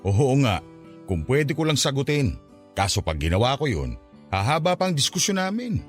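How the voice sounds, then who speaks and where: A man's voice: medium pitch, big pitch swings, unhurried. A man playing an easygoing, lenient, henpecked father who placates his family in a Filipino radio drama. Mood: conspiratorial. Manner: placating, gently admonishing. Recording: music bed behind the voice.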